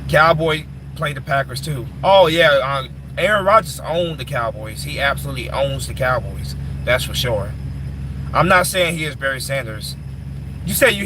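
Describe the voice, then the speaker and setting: Man in his twenties, casual live chat, stumbling restarts, middle pitch, noisy with traffic behind. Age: 20s. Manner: repeats and restarts words, calls listeners "man". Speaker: live stream host answering chat.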